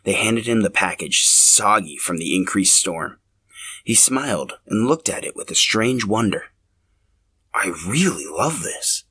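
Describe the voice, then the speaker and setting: A man reading scripted story narration in an expressive, dramatic storyteller's manner. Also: fairly low pitch, normal speed, slightly rough. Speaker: podcast host telling a story.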